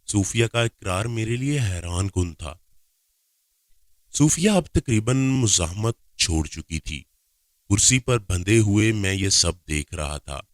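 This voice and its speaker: A man's voice: lowish voice, dry recording, clean studio sound. A man reading a scripted first-person story aloud as a narrator.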